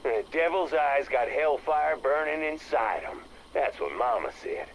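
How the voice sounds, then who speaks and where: An actor playing a stubborn, devout biker, folksy and defiant, in a game audio drama. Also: male voice, a little high, a little slow, creaky, a little hiss or hum, poor audio. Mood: resolute. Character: stubborn, devout small-town biker bent on rescuing survivors. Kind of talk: game radio drama dialogue.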